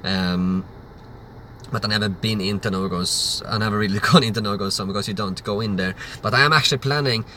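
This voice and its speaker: A man's voice: lowish voice, brisk, sharp tone, slight background noise. A man, hosting a livestream Q&A and answering chat questions in a loose, rambling way. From Sweden. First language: Swedish. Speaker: livestream host.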